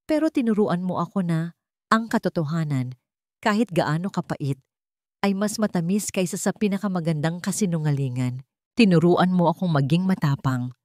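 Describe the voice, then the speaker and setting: A woman's voice: on the low side, lively intonation, normal speed, darkish tone, dry recording, lightly acted. Devoted adult groom read by a female narrator, emotional, earnest romantic storybook drama, dry sound. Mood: earnest confession. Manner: trembling, earnest.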